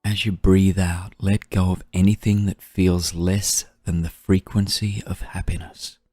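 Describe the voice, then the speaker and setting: Deep, slow, breathy male meditation guide. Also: little pitch movement, no echo, studio quality. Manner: soothing, gentle, unhurried delivery with long pauses.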